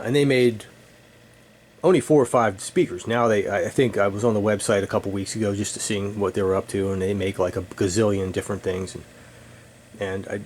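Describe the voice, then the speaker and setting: A middle-aged man with a fairly low voice talks casually, reminiscing as a keen hobbyist. His phrasing comes in halting, enthusiastic bursts. A faint steady room hum sits behind him. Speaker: audio hobbyist presenting own video. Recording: steady room noise, air conditioning hum.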